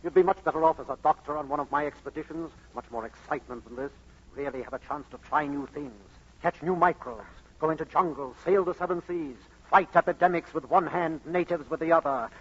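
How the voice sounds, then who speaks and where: A man's voice: a little high, brisk, husky. An actor playing a brash, glib, sardonic man who wisecracks breezily in 1940s radio comedy style. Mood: glib indignation. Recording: muffled old radio broadcast.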